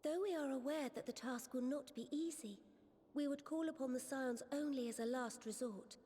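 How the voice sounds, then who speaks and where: A woman's voice: high-pitched, normal speed, dark tone. An actress playing a dignified, resolute female ruler, speaking formally in a scripted video game scene. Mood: solemn, gracious. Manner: formal, stately, archaic wording. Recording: game audio under clear music.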